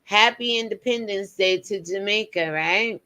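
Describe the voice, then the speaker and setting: A woman's voice: mid-range voice, a little slow, bright, forceful tone. Chatty woman in her fifties. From United States. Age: 50s. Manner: chatty, preachy asides to viewers. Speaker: commentary show host. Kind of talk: casual commentary to viewers.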